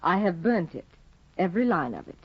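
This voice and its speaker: Bitter, imperious young wife. Lively pitch, lo-fi 1950s radio drama sound. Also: female voice, fairly quick, breathy edge, no echo, heavily acted. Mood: cold, defiant. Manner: icy, bitter, commanding. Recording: dull lo-fi 1950 radio broadcast with faint hiss.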